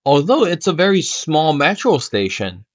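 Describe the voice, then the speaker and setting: A man with a Chinese accent calmly narrating a travel video. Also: a little high, normal speed. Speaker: travel vlogger. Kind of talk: voice-over narration.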